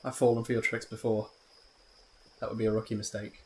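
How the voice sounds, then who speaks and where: A woman with a strikingly deep voice chats casually as co-commentator on a Let's Play. Faint noise and game audio sit behind her. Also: little pitch movement, a little fast.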